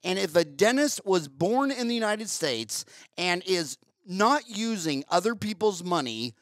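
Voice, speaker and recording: An older man hosting an interview podcast on a headset mic, talking in an animated, ranting way and repeating himself. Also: high-pitched, a little slow, no room sound. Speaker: podcast host. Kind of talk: casual interview chat. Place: home studio with wood-panel wall.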